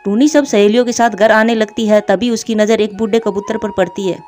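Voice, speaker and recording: A woman's voice: medium pitch, fairly even intonation, a little fast, a little mellow, soft background music. A woman narrating a scripted children's story.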